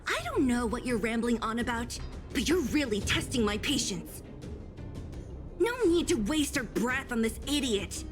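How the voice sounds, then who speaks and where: An actress voicing a composed, no-nonsense female fighter in a video game, with a measured, firm manner. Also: on the high side, animated, close to an everyday voice. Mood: impatient, firm. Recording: game music behind the voice.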